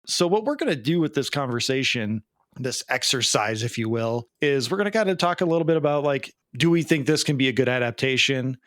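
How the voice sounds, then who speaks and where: A man's voice: medium pitch, lively intonation, a little fast, fairly bright tone, no room sound, clean studio sound. A man co-hosting a podcast in casual chat, with a sarcastic, wry manner. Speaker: podcast co-host. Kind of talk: casual pop culture chat.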